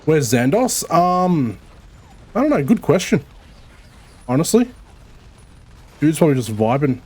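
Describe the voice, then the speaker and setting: A man with an Australian Melbourne accent chatting casually while streaming a co-op game, swearing freely and rambling quickly. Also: on the high side, sing-song, a little mellow, breathy edge. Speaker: streamer playing co-op. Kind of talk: casual gaming chat.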